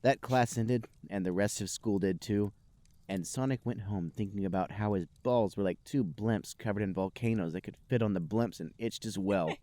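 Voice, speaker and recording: A man's voice: on the low side, moderate pace, dark tone, no echo. A man hosting a podcast, narrating amateur fan fiction for comedy in a mock-serious way. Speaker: podcast host.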